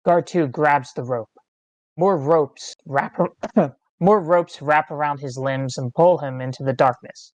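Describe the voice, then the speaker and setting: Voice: medium pitch, unhurried, no echo. Dry-sounding narrator reading clipped stage directions. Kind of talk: scripted screenplay narration. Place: online meeting, close dry mic.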